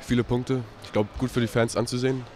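A young basketball player giving calm, brief answers in a post-game interview, recorded on a handheld mic in an arena. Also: male voice, medium pitch, fast talker, a little hiss or hum. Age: young adult. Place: indoor arena.

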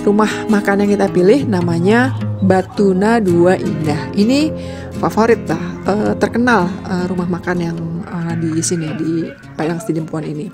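Chatty woman narrating over loud music. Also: lowish voice, normal speed. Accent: Indonesian. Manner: chatty, lists costs, trails off with fillers. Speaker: travel vlogger narrating a road trip. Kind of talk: casual travel voice-over.

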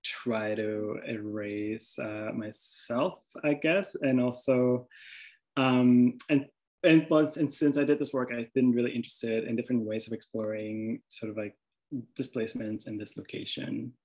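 A man's voice: mid-range voice, fairly even intonation, unhurried, darkish tone. A man from Venezuela with a Venezuelan accent, an artist presenting his work over slides, hesitant, with many restarts and fillers.

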